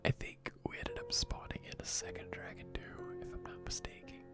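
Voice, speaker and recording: Casting: hushed ASMR game streamer, whispered and unhurried casual commentary, loud music underneath.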